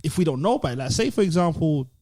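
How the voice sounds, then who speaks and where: Lively, higher-pitched man debating casually. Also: rapid-fire. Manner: insistent, repeats his point. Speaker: podcast co-host.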